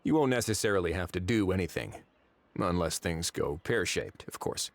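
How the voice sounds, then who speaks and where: A man voicing an affable, easygoing male official in a video game, relaxed and lightly wry. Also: low-pitched, moderate pace, dry recording. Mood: easygoing, measured. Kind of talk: video game dialogue acting. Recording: game music behind the voice. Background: background music.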